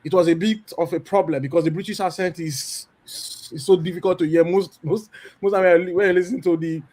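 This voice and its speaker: Animated Nigerian man telling a story. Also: high voice, brisk. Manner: animated storyteller, acts out quoted dialogue. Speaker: panel speaker. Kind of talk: candid testimony on a church panel.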